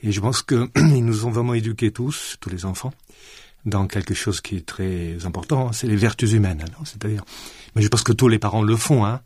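A deep-voiced man with a southern French accent talks reflectively as a radio guest. His voice is husky and close to the mic in a dry studio. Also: a little fast, a little mellow, dry recording. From southern France. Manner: reflective, many hein and absolument. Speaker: guest, student centre director. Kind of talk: radio interview.

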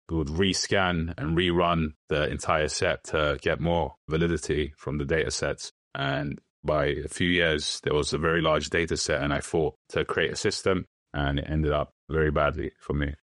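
Young guy, super deep low voice, dry close sound, just talking it through plainly on a podcast. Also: no room sound. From London, England. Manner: plain, matter-of-fact explaining with loose restarts. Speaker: guest. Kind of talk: podcast interview answers.

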